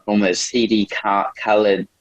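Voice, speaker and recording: A young man from a small town in Brazil with a Brazilian accent, answering interview questions casually in halting non-native English, often repeating words. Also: on the low side, level tone, no echo. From Brazil, small town in the north. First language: Portuguese. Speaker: MMA fighter, podcast guest.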